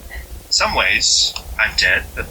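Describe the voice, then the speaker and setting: A man playing an otherworldly trickster in a gentle dead husband's guise, folksy and hesitant with long pauses, in an audio drama. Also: fairly low pitch, very animated, full character voice, rough recording. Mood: searching, patient. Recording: faint background noise.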